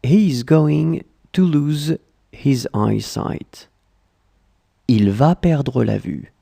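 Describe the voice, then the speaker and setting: A language tutor voice reading short phrases in slow dictation, repeating each one and splitting it into chunks. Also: mid-range voice, expressive, creaky, mellow.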